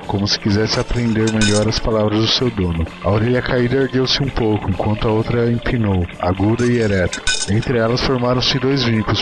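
A Brazilian man giving a dramatic reading of a short story as its narrator. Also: on the low side. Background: music.

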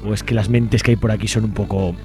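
A man's voice: on the low side, steady tone, fast talker. A man with a Spain Spanish accent hosting a casual radio show in an informal, wry way, often checking in with tag questions. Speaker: radio host.

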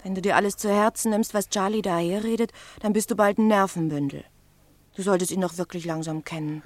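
A woman's voice: medium pitch, steady tone, a little fast, no room sound, soft background music, lightly acted. An actress playing a loyal, sensible, protective woman in a German radio drama, speaking matter-of-factly. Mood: reassuring. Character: loyal, sensible woman defending the bar owner.

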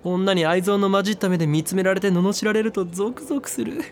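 A brash young man who loves danger, slightly higher-pitched than most men. He talks in slangy, clipped casual speech, with music playing clearly behind him in this drama CD scene. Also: fast talker. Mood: musing. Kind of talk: drama CD acting. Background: background music.

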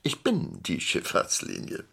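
An older man with a warm, dark, raspy baritone, playing a rich, sly, courteous shipowner in a 1980s radio crime comedy. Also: measured pace, close to an everyday voice. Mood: sly, self-assured. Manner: hesitant, courteous, theatrical.

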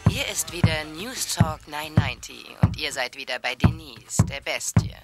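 An actress playing a blunt, hard-line talk radio host in a radio drama. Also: female voice, sits low, expressive, moderate pace, loud music behind the voice. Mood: on-air brisk. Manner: blunt, provocative. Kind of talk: radio drama acting, call-in talk show monologue.

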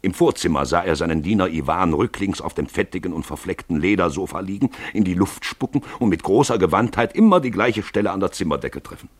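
Radio play narrator: man, low and raspy, animated literary storytelling, dry close sound. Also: fast talker, hardly any pauses, no echo. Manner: expressive, theatrical storytelling.

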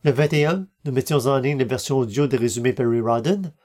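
A man's voice: medium pitch, brisk, a little mellow. A man hosting a podcast, reading scripted narration and announcements. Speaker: podcast host and reader.

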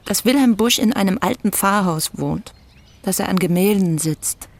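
A reserved, determined reporter in a radio play, played by an actor, speaking in short, calm and firm answers. The voice sits at a middling pitch. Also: normal speed, lightly acted. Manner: brief, calm, firm replies. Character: terse, determined newspaper reporter named Nanda.

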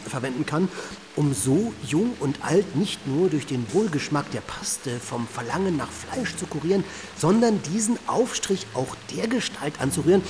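An earnest puritan reformer speaks in long, courteous, formal sentences with missionary zeal. His voice is a touch high. Clear ambient noise runs behind this radio play. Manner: polite, wordy, formal speech. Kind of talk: German radio drama acting.

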